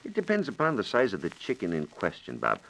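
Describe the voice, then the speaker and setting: A man's voice: on the low side, wildly swooping pitch, fast talker, gravelly, a bit bright, poor audio. A man explaining science on a 1940s radio program in a precise, methodical, formally worded manner, on a vintage broadcast recording.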